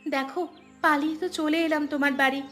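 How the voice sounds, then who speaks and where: A woman's voice: sits high, steady tone, quick pace, fairly bright tone, faint music behind. A woman voicing a homesick, wistful young runaway bride in a village cartoon. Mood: wistful, worried. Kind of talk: cartoon voice acting.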